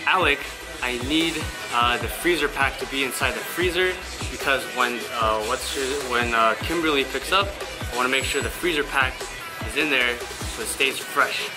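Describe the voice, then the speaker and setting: A man's voice: medium pitch, normal speed, poor audio. A young man, a meal prep business owner, casually narrating a vlog with loose, upbeat talk and many fillers. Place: commercial kitchen and gym. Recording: loud background music.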